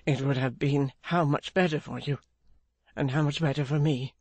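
A reader voicing an anguished, guilt-ridden gentleman in an audiobook, gentle and weary, repeating himself in grief. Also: mid-range voice, steady tone, normal speed, no echo, close to an everyday voice. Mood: sorrowful commiseration. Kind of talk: audiobook character acting.

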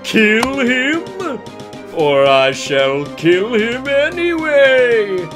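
A man voicing an evil, cruel dark wizard with a raspy voice and archaic, menacing speech in a comedic fan fiction reading. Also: very high voice, very animated, music in the background, pitched above the performer's own voice, exaggerated voice. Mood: threatening. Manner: slow, drawn-out menacing delivery, cackling.

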